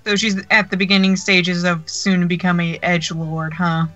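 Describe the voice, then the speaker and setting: Bright woman on a dull lo-fi call. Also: lowish voice, little pitch movement, moderate pace. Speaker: guest co-commentator in a let's play. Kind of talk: casual chat. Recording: dull lo-fi voice call, music behind.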